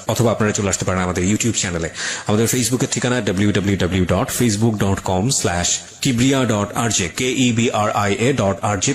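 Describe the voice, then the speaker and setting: A man with a Bangladeshi accent presenting a radio show as its host. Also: lowish voice.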